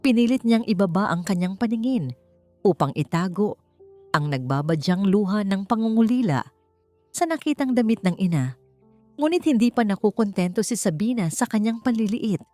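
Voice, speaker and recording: A woman's voice: medium pitch, mellow, no echo. A woman narrator reading a scripted story in a dramatic storytelling style. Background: background music.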